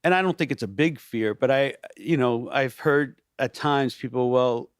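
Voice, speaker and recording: A pastor and worship leader in casual podcast conversation, animated and restarting his sentences often, peppered with "you know". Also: mid-range voice, moderate pace, smooth, no echo, studio quality.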